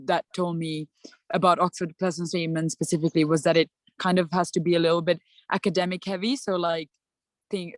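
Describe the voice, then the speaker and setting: A woman's voice: lowish voice, dry recording. Chatty young woman from Sri Lanka. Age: young adult. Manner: chatty, many fillers and "like". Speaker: student panelist. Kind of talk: casual advice.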